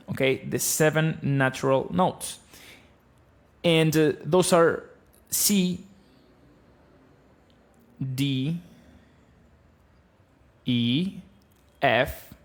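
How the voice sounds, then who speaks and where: A man's voice: medium pitch, creaky. Argentine man teaching guitar on stream. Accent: Argentine Spanish. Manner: blunt, animated, explaining. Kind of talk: live-streamed guitar lesson. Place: small room with bookshelves, indoors. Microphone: studio mic on stand, close.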